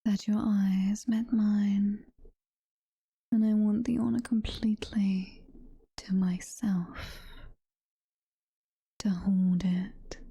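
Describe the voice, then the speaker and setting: A British woman voicing a sweet, motherly, possessive demon girlfriend in a slow, cooing, breathy whisper for intimate ASMR roleplay. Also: medium pitch, mellow, dry recording, heavily acted. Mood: possessive, adoring. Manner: slow, cooing, full of pet names.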